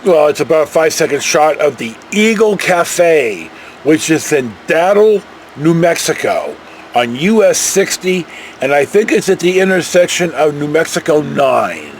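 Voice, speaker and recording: An older American man with a notably high voice tells casual, folksy stories. His pitch swings widely as he rambles along with animated emphasis. There is faint vehicle-like noise behind him. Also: a little slow. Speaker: vlogger telling personal travel stories.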